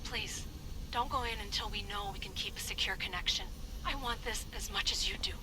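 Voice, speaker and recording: A woman's voice: mid-range voice, a little fast, noisy background, natural voice. A woman playing an earnest, protective team doctor in a video game cutscene. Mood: gentle pleading. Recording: music behind the voice.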